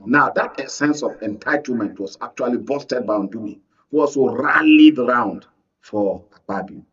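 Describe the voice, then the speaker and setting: A Nigerian man giving unscripted political commentary as a guest analyst, explaining in a halting way. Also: mid-range voice, fairly bright tone, husky, distant mic, highs cut off.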